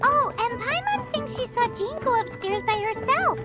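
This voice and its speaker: Squeaky little fairy sidekick, bubbly and bouncy, game music blasting. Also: female voice, sing-song, moderate pace. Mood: chirpy. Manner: bubbly, talks about herself in third person. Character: tiny floating fairy girl companion, chirpy. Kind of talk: video game voice acting.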